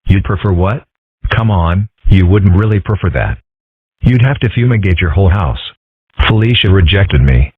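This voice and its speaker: A man's voice: low-pitched, expressive, moderate pace, breathy edge, no room sound. A man voicing a flustered lovesick schoolboy. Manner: flustered, stammering. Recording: dry, phone-like lo-fi sound.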